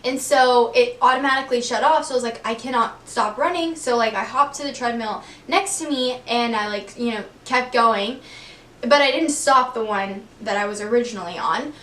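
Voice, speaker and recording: Young woman, twenties, from Texas, higher voice, casual rambling chat, faint background hum. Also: normal speed, fairly bright tone, echoey room, distant mic. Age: 20s. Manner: chatty, lots of "like" and "um", laughs often. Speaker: vlogger. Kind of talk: casual get-to-know-me chat.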